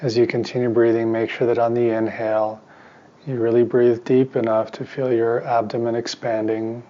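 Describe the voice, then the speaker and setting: A man teaching meditation in a soft voice, giving calm, soothing instructions in short, spaced phrases. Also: medium pitch, moderate pace, lo-fi sound. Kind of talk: guided meditation.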